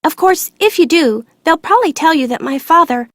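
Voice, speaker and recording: A woman's voice: extremely high pitch, expressive, a little fast, a bit bright, no room sound, clean studio sound. A woman playing a bitter, cynical young office worker in a video game, sardonic and matter-of-fact. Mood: resentful, matter-of-fact.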